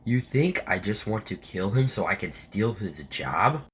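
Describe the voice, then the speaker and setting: A man acts a loyal army officer in a Bible radio play. His husky voice is fairly low and swings widely, earnest and insistent throughout. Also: very animated, measured pace, fairly bright tone, full character voice. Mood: indignant, insistent. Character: loyal, urgent male army officer pleading warning. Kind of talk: Bible audio drama acting.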